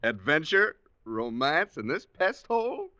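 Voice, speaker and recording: A man's voice: a little high, big pitch swings, unhurried, pushed and bright, no echo, exaggerated voice. An actor playing a jovial, stuffy, scoffing male tourist with hearty, genteel phrasing in a 1940s radio drama. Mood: amused, scoffing. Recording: dull vintage radio recording.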